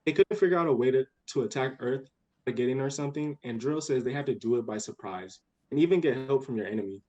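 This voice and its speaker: A man's voice: medium pitch, fast, darkish tone, lightly acted. A young man playing an eager, bossy, imaginative little girl in a radio play, with earnest impatience. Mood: earnest, excited. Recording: dull lo-fi audio.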